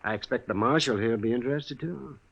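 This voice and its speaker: An actor playing a folksy, wry, kindly frontier town doctor in a western radio drama. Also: male voice, mid-range voice, fast, gravelly. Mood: kindly, prompting. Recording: dull, noisy old radio recording.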